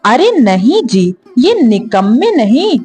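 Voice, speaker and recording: A woman's voice: on the high side, big pitch swings, slow, darkish tone, breathy edge, faint music behind, heavily acted. A woman voicing a greedy, scheming village wife in a children's cartoon story, coaxing as she gives advice. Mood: scheming, teasing.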